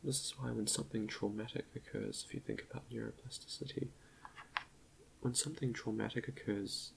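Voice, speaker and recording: A man quietly leading an ASMR guided meditation in a soft half-whisper, gentle and a little hesitant, close to the microphone with chalk clicks behind him. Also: fairly low pitch, huge pitch swings, fairly quick, background noise. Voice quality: soft, breathy half-whisper with creaky fry.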